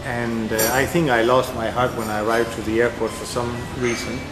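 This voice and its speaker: A man's voice: mid-range voice, flat delivery, fairly quick, rough recording. A middle-aged man from Denmark answers interview questions in a casual, rambling way, with many fillers and restarts. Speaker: interview guest.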